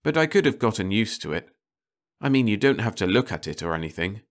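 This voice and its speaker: A man's voice: lowish voice, sing-song, brisk. A man voicing an uneasy car-shop worker in an audiobook, nervously reassuring himself. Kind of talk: audiobook character voice.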